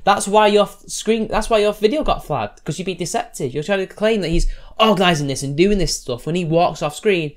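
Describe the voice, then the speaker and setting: A man's voice: sits high, fairly quick, hardly any pauses. A man giving combative, sarcastic reaction commentary, talking loosely with restarts as he answers posts. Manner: loose, rambling delivery with restarts. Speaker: YouTube commentator.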